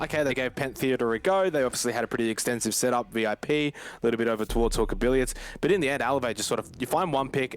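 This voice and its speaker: A man giving live, analytical esports commentary in a conversational way, often addressing the audience directly. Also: medium pitch. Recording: clear game noise behind the voice.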